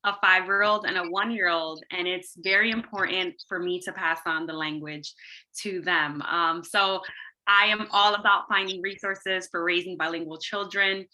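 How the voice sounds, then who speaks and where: A woman's voice: fairly low pitch, steady tone, moderate pace, pushed and bright, low-quality recording. A woman in her thirties from the United States, a native Spanish speaker, introducing herself conversationally on a video call from home. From United States, Dominican family. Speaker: guest and military mother.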